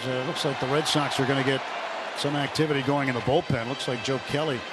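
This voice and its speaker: A man calling a baseball game on the radio, building to shouts on big plays. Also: mid-range voice, quick pace, over loud music, rough recording. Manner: calls big plays with rising, shouted excitement. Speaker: play-by-play announcer. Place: broadcast booth at a ballpark. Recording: radio broadcast with loud crowd noise.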